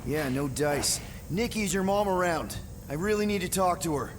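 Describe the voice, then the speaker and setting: An actor playing an earnest, scrappy space crew leader with a casual, placating way of talking in a video game. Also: male voice, high voice, expressive, moderate pace, long reverb tail, noisy background. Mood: worried. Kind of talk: naturalistic game acting.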